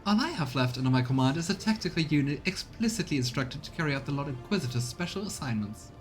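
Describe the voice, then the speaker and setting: A man's voice: expressive, fast, faint music behind, natural voice. A man voicing an arrogant female inquisition agent in a video game, haughty, formal and condescending. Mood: cool, superior. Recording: rumbly background noise.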